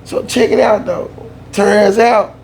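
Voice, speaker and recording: A young man from the Southern US telling a family story in a laid-back, slangy way that turns animated when he gets worked up. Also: high-pitched, takes it slowly, gravelly, slight background noise. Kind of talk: casual storytime.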